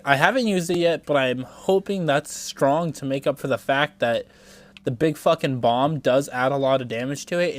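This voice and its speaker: A young man with a congested, stuffy voice, casually commenting on a video game as he plays, rambling and thinking aloud with some swearing. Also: on the high side. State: congested from a cold. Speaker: gameplay streamer.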